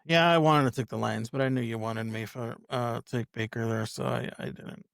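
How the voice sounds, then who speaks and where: A man's voice: medium pitch, steady tone, normal speed, creaky, fairly bright tone, no echo, studio quality. A man speaking as a podcast guest in casual sports chat, often repeating himself as he talks.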